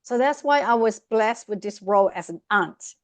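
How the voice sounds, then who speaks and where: Podcast guest: middle-aged woman, expressive storyteller, dry close sound. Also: medium pitch, normal speed, no room sound. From Vietnam. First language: Vietnamese. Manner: lively, expressive, speeds up in bursts. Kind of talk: casual interview storytelling.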